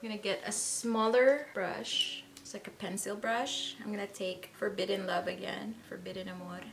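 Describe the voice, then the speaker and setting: A woman reviewing makeup and talking through a tutorial, soft-spoken and gushing about the products. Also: medium pitch, steady tone, moderate pace, gravelly. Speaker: beauty vlogger. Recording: background music under voice. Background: music.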